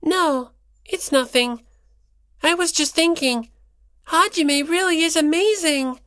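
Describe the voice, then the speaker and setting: A performer voicing a gentle, dreamy, thoughtful teenage girl in an audiobook, speaking softly and without hurry. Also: high-pitched, slow pace, no echo, studio quality, voice pushed up. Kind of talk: dramatic audiobook character dialogue.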